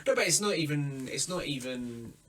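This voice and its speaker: A young man co-hosting a podcast, easygoing and quick to laugh, speaking rather quietly. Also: a little high, lively intonation, rough recording. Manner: easygoing, laughs often, talks quietly into the mic. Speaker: podcast co-host. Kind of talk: casual chat.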